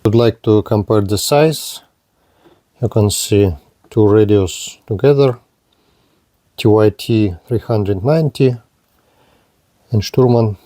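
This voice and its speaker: A man reviewing and demonstrating a radio, speaking in slow, halting. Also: on the low side, dark tone, no echo. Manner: slow, halting non-native phrasing. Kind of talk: product demonstration.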